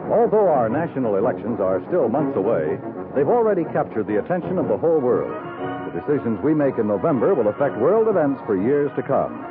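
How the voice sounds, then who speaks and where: A man announcing in a formal, emphatic, polished 1950s radio style, reading from a script. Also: medium pitch. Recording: muffled 1950s radio broadcast recording.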